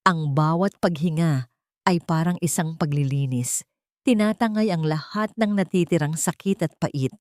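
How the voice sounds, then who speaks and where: Woman, lowish voice, dramatic story narration, dry sound. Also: moderate pace, darkish tone, dry recording. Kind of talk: dramatic scripted narration of a Tagalog love story.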